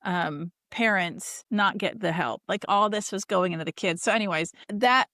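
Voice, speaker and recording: A woman's voice: mid-range voice, moderate pace, pushed and bright, dry recording, clean studio sound. A woman hosting a podcast interview, chatting enthusiastically and rambling with restarts. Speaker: podcast host. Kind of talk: casual interview chat.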